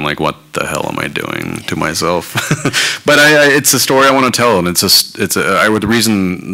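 A man on stage at a live panel, talking in a halting, monotone way and repeating his words. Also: a bass voice, clean tone. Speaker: guest cartoonist. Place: large hall stage.

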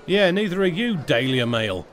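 An actor playing a self-important, put-upon village bailiff with a British accent and colloquial speech in a comic audio drama. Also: male voice, a little high, big pitch swings, normal speed, a bit bright, slight background noise. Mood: officious.

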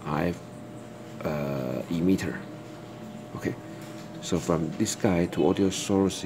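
Deep male voice, casual product demo, halting repetitive explanation, clear background noise. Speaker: product demonstrator. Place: indoors at a desk with electronics.

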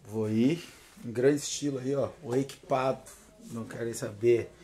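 A man's voice: mid-range voice, steady tone, normal speed, mellow. Nervous, chatty southern Brazilian man. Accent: southern Brazilian Portuguese. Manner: chatty, nervous, laughs often. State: tired from no sleep. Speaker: paranormal vlogger. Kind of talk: casual selfie vlog. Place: home porch, then inside a car. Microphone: phone held at arm's length.

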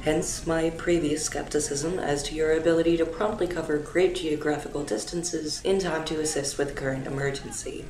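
A woman voicing a dry, formal, sarcastic alien woman in a fan dub, speaking precisely and with deadpan exasperation. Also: low voice, normal speed, hardly any pauses, close to an everyday voice. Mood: exasperated. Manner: precise, deadpan, stilted wording. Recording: roomy echo, clear background noise.

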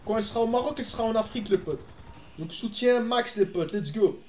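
A young Belgian man who grew up speaking Dutch chats loosely with his stream viewers as he plays, full of fillers and restarts. His voice sits high for a man, and he records at home in an echoey room on a desk mic. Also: fast, slight background noise. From Belgium, Antwerp. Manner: chatty, answers chat, frequent fillers and restarts. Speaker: gaming streamer. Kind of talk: casual live-stream chat while playing.

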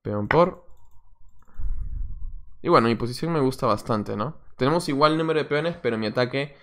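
A young man talks through a chess game as he plays it, half muttering his moves and half chatting with his viewers. His voice sits at an ordinary middle pitch. It was recorded at his desk in a small room, with a mouse clicking now and then in the background. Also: moderate pace, a bit bright. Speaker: chess coach streaming a game. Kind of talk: live-stream commentary while playing.

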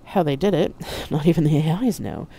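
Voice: medium pitch, very animated, brisk, gravelly, warm tone, breathy edge, exaggerated voice, low-quality recording. A narrator voicing a serene, commanding female avatar of a revered scientist in an audiobook. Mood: assured, measured.

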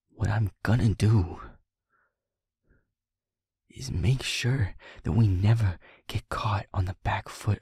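A man playing a feisty, wounded young werewolf boyfriend in an intimate audio roleplay, breathy and husky, speaking haltingly with heavy pauses. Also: on the low side, a little mellow, dry recording, clean studio sound, heavily acted. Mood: anguished, resolute. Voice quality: breathy, husky, often half-whispered.

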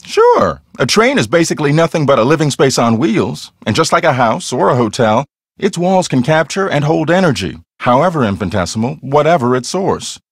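An earnest young American ghost hunter in a video game. His fairly high voice moves up and down a lot as he explains himself with self-serious intensity. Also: big pitch swings, fairly quick, breathy edge, no echo, studio quality, heavily acted. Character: earnest, self-serious male paranormal investigator.

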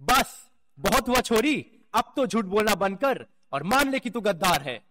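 A man's voice: sits high, expressive, normal speed, a little hiss or hum. A man playing a stern, domineering royal father-in-law, accusing and angry, in a radio drama. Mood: stern, threatening. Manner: forceful, accusatory. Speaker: actor in a student radio drama. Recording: long hall-like reverb.

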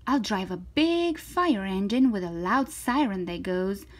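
A woman's voice: mid-range voice, expressive, unhurried. A woman voicing a confident, defiant little girl from a children's storybook, emphatic and eager. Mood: proud, confident.

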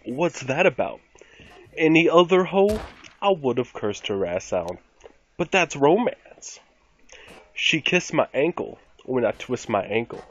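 A man giving a mock-dramatic spoken reading of rap lyrics, theatrical, with deliberate pauses between short phrases. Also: a little high, very animated. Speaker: performer of dramatic readings in an online game. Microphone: computer mic over game voice chat. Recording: muffled, noisy game voice chat.

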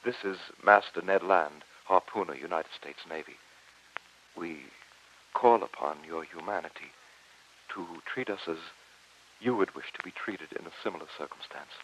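Dignified scientist-narrator of vintage radio drama; deep, formal, measured delivery; hissy recording. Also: male voice, a bit bright, rough recording. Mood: formal, appealing. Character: earnest, dignified French professor of marine biology. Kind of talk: old-time radio drama acting.

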